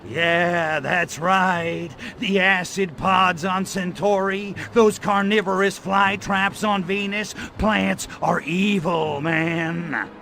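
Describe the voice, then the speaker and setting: Cocky video game alien: gravelly and forceful, slow wisecracking drawl, sarcastic, quick to threaten. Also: male voice, high-pitched, steady tone, a little hiss or hum, exaggerated voice. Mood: scornful, sardonic. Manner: brash, wisecracking, slangy. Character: cocky, sarcastic, short-tempered little alien invader. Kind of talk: video game cutscene acting.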